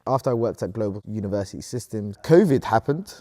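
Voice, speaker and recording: A man's voice: lowish voice, animated, fast talker, dark tone, dry recording, studio quality. A man in his thirties from Sudan, a company co-founder answering interview questions in an earnest, upbeat way.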